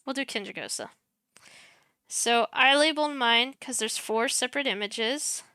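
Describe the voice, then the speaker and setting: A young woman talks through a streaming tutorial on a headset, soft-spoken and chatty, muttering to herself as she clicks. Her voice is bright and very clear, and she takes her time. The sound is close and dry, with no room around it. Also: a little high, normal speed, no room sound. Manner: chatty, self-deprecating, mutters while clicking. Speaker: streamer teaching streaming setup. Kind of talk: casual screen-share tutorial.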